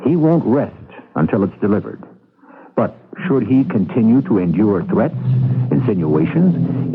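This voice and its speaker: A man narrates a mystery in a low voice with wry menace and sweeping intonation. Music sits behind him, and the broadcast is thin and band-limited. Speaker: host narrator. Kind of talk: dramatic scripted radio mystery narration. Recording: narrow-band radio with music underneath.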